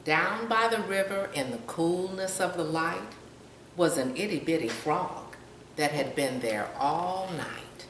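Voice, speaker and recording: A woman's voice: lowish voice, takes it slowly, a bit bright. An older woman reading a children's picture book aloud, pointing out pictures and putting questions to young listeners. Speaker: storytime reader. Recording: roomy, distant mic, faint noise.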